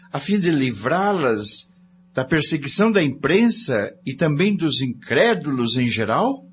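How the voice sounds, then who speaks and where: A man with a Brazilian accent narrating a scripted radio drama, addressing the listeners. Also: mid-range voice. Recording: dull lo-fi audio with background noise.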